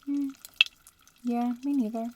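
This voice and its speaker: A woman playing a young bratty witch in training in an ASMR roleplay, murmuring casually and without hurry, slipping between soft speech and whispers. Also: on the high side, steady tone, slow pace, noisy background.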